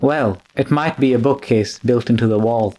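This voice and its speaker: A man's voice: medium pitch, normal speed, mellow, natural voice. Man playing a polite, uneasy manservant. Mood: deferential. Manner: polite, hesitant. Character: deferential, uneasy manservant following his master. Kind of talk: old-time radio drama acting.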